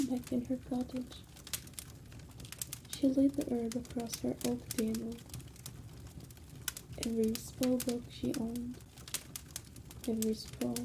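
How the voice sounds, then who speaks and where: A woman whispering an ASMR bedtime story in a hushed, soothing manner, with long pauses between phrases. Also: fairly high pitch, background noise.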